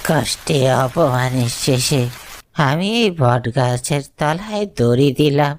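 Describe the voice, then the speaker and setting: A woman's voice: lively intonation, heavily acted. A woman voicing the vengeful ghost of a wronged curd seller in a horror cartoon, accusing and dramatic. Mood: bitter, aggrieved. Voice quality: creaky, gravelly. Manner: slow, accusing, dramatic swoops in pitch. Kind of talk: Bengali horror cartoon acting. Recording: rain noise over the voice.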